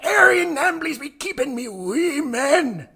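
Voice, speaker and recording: A man voicing a crazed, furious old Scottish man, yelling in a thick, nearly incomprehensible accent in a dramatic story reading. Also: very high voice, very animated, slow pace, vocal fry, voice pushed up, exaggerated voice. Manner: shouting, slurred near-incomprehensible brogue.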